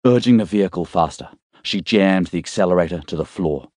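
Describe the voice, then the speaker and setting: A man's voice: low voice, a little fast, a little mellow, no echo, clean studio sound. A man reading scripted fiction as a dramatic audiobook narrator.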